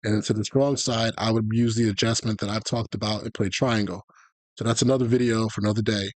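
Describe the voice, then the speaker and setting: A man giving a live, conversational tutorial as a gaming coach, explaining tactics and often checking in with a quick "okay?" or "right?". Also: fairly low pitch, steady tone, no room sound. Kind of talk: live explanatory commentary on football video game tactics.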